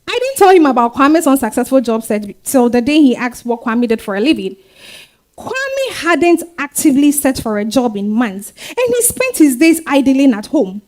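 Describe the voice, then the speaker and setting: Woman acting an expressive high-voiced Ghanaian wife. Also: normal speed. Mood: fond reminiscing. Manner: expressive, conversational, with hums and exclamations. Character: warm, weary young market-trader wife and mother, candid. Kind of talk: dramatized stage reading, first-person storytelling.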